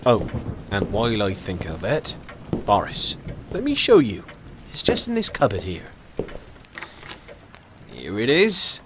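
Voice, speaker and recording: A man acting a brisk, jovial country doctor in an audio drama, with a chatty, theatrical way of talking. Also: lowish voice, sing-song. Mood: breezy, insistent. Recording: clearly noisy home-recorded audio drama.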